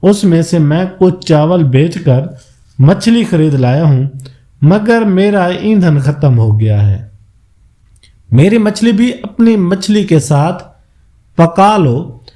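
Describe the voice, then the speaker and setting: A man's voice: a little high, big pitch swings, slow pace, dark tone. A man voicing a sly swindler in a folk tale, wheedling his rival with brotherly talk. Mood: scheming, friendly. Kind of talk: moral folk tale character voice.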